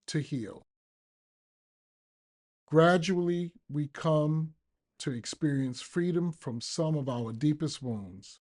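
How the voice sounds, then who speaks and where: A man reads a short devotional text at a slow pace. His voice is mellow and relaxed, with deliberate phrasing and pauses. It sounds like a scripted daily reading for a podcast. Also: medium pitch. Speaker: podcast reader, recovering addict.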